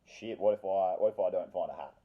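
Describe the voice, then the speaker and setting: A man's voice: sits low, fast, narrow, tinny audio. A man telling a casual, rambling personal anecdote with slangy swearing and dramatic repetition. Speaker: storyteller.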